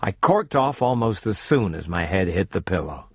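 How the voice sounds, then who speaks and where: A man narrating a first-person radio drama story in a literate, measured storytelling manner. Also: deep voice, very animated, slight background noise.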